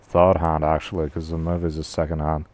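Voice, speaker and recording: A man with a deep voice, rambling casually and slangily to his stream audience. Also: monotone, a little fast, a little mellow. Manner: slangy, rambling, says "bro" a lot. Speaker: live streamer.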